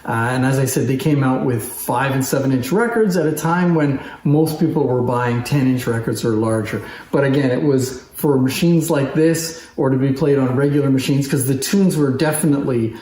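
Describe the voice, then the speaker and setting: A man's voice: mid-range voice, moderate pace, hardly any pauses, warm tone. A man giving a casual, unscripted show-and-tell in a conversational, enthusiastic way, as a presenter of antique machines. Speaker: antique phonograph collection presenter. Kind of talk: casual unscripted demonstration talk. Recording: roomy echo.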